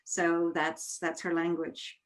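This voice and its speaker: A woman's voice: on the low side, monotone, slow. A woman interviewing in a conversational way, thoughtful and often rephrasing herself as she goes. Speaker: interviewer, theatre artistic director.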